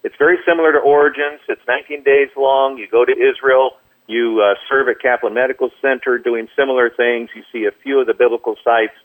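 A man on a phone line explaining ministry programs as an interview guest, in a measured way with hesitant pauses. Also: medium pitch, steady tone. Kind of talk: explanatory radio interview talk. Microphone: phone call. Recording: tinny, narrow telephone line.

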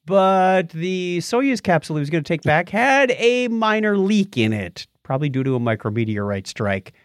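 Lively, higher-pitched man co-hosting a podcast. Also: moderate pace, clear, resonant tone, no room sound, clean studio sound. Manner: jokey, conversational. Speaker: podcast co-host. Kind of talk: casual news banter and explaining.

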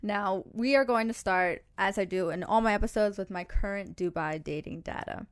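A bubbly woman hosting a podcast, chatting in a loose, rambling way. She laughs often and restarts her sentences as she tells her stories, with a voice of middle pitch. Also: normal speed, clean tone. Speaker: podcast host. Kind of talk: casual chat.

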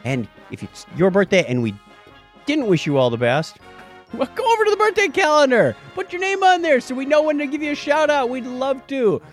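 A man's voice: extremely high pitch, expressive, fairly quick, faint music behind. A man hosting a podcast in a casual, chatty style, bantering and joking as he goes. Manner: jokey, chatty. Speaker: podcast host. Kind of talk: casual news and birthdays banter.

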